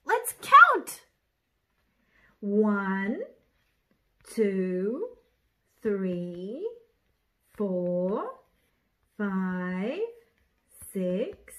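A woman's voice: on the high side, pushed and bright. A young woman reading a picture book aloud to small children in an exaggerated, playful way, with sound effects. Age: young adult. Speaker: children's storyteller.

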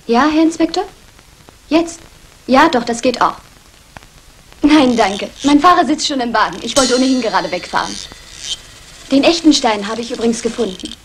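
An actress playing a brisk, polite well-off woman in a 1960s German crime radio play. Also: fairly high pitch, a little hiss or hum, close to an everyday voice, rough recording.

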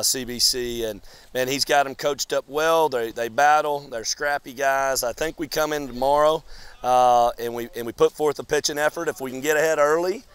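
Smooth-voiced man coaching baseball. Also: mid-range voice. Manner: relaxed, rambling with many fillers and restarts. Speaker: college baseball head coach. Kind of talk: postgame interview. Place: outdoors on a baseball field. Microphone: lapel mic.

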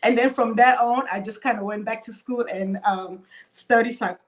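A woman's voice: fairly high pitch, fairly even intonation, moderate pace, slight background noise. A young woman from Cameroon speaking as a cybersecurity panelist on a video call, telling her story in a hesitant way with many fillers and restarts.

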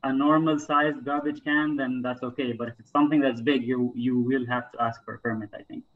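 A man's voice: mid-range voice, steady tone, brisk, narrow, tinny audio. A man from Egypt speaking casually and earnestly as an interview guest, thinking aloud as he talks. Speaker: interview guest, climber and environmental advocate.

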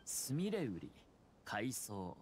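A man voicing a courteous, calculating young clan head in a Japanese anime game, smooth, formal and measured. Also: lowish voice, very animated, slight background noise. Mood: playfully courteous. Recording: game music underneath.

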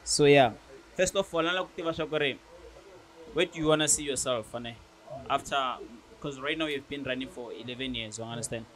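A man's voice: medium pitch, moderate pace, fairly bright tone, low-quality recording. South African man hosting a casual chat. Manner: mixes English with Tsonga, keeps asking "you understand?". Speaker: podcast host. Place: barbershop studio, indoors.

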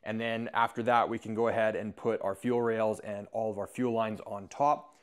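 A man's voice: fairly low pitch, level tone, normal speed, clean tone. A man in his thirties with a North American accent, narrating a casual vlog to viewers in an informal, upbeat way. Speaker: host of a truck build channel.

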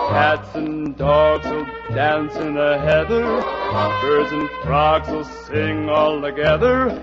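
A man sings in a 1950s big band style. His voice sits high and he phrases slowly. The old radio recording is muffled. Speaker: band singer. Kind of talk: 1950s big band show-tune singing. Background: loud band music.

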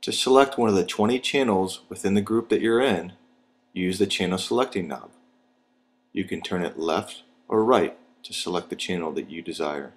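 A man reading scripted instructional narration for a training video in a measured, step-by-step, plain manner. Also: deep voice, slight background noise.

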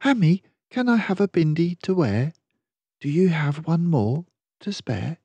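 A man's voice: on the high side, animated, unhurried, dark tone, husky, dry recording, pitched above the performer's own voice, full character voice. Storybook child: inquisitive, eager small boy played by a man, lilting questions, raised voice, dry close sound. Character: curious, eager little boy asking his mother questions.

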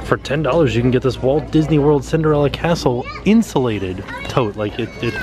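A man's voice: medium pitch, fairly quick, a crowd in the background. A man hosting a casual shopping vlog, chatting and reading out prices as he walks through a store. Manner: chatty, reads prices aloud. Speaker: vlog host. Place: indoor retail store.

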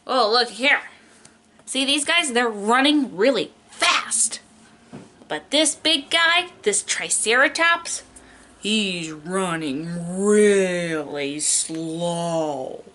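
A woman's voice: medium pitch, expressive, extremely slow, pushed and bright. A young adult woman, a preschool teacher doing a picture book read-aloud in an exaggerated, child-directed way, stretching key words.

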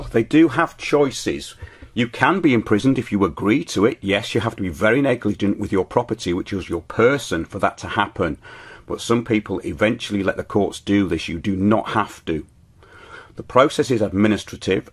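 A middle-aged British man presenting his show as host, reading scripted commentary in a measured, declarative way. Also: lowish voice, slightly rough.

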